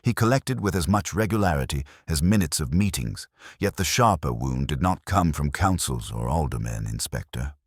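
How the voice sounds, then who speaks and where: Male narrator as brittle proud widow, formal, guarded, very low husky voice, mystery audiobook. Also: animated, normal speed, dry recording, clean studio sound, heavily acted. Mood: brittle, restrained.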